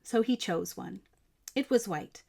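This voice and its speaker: A woman reading a picture book aloud as a storyteller, expressive and emphatic, with deliberate phrasing for children. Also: medium pitch, gravelly.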